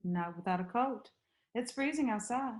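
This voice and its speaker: A woman's voice: fairly high pitch, animated, normal speed, natural voice. A woman acting a caring, insistent middle-aged wife and mother in a screenplay table read. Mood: fussing, insistent.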